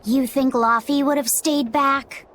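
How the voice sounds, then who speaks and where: A woman playing an earnest, sensitive and excitable young boy in an anime-style game cutscene. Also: high voice, measured pace. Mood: sheepish. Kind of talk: English-dubbed anime game cutscene acting.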